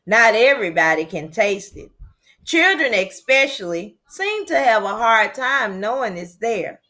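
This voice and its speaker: A woman reads the part of a kindly older lady librarian in a children's storybook. She explains things gently and slowly. Her pitch is a touch high and rises and falls freely. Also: big pitch swings, full character voice. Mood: wistful, kindly. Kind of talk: storybook character read aloud.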